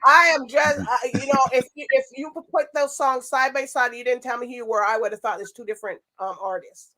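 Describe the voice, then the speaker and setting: A woman's voice: high-pitched, steady tone, brisk, sharp tone, low-quality recording. A woman hosting a casual interview, talking in a playful, teasing way with frequent laughter. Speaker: show host interviewing a singer. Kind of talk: casual chat.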